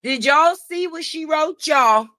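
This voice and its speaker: Loud sassy high-voiced woman streamer. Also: animated, slow pace, dry recording, studio quality. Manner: sassy, loud, greets viewers by name. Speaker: live stream host. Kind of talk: casual gossip commentary.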